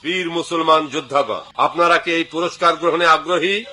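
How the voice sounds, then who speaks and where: Bright, forceful commander played by a man. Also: fairly high pitch, normal speed. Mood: solemn, rousing. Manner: formal, declamatory oratory. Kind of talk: dubbed cartoon speech to troops.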